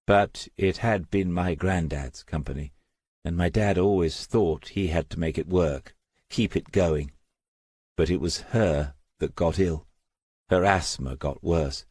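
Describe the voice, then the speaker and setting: Man reading as a frank, bitter young woman, husky. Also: low-pitched, measured pace, a little mellow, no room sound. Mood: wistful. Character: resolute, bitter young woman, a single mother. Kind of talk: audiobook character dialogue.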